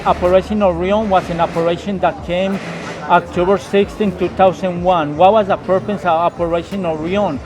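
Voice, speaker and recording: A man from Colombia who was raised in the United States, a local guide and English teacher, answering questions slowly with many fillers and repeats. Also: sits high, steady tone, over music. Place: outdoors on stairs in a city neighborhood.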